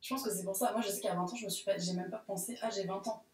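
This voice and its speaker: A woman in her late twenties in a casual discussion, emphatic and quick to agree. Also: mid-range voice, steady tone. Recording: roomy echo.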